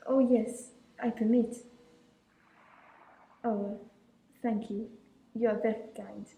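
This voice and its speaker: A woman's voice: a little high, level tone, creaky, warm tone, reverberant, rough recording. A girl doing a shy French mademoiselle. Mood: polite. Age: teens. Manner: slow, careful broken English. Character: young French woman, shy and polite. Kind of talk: school radio play.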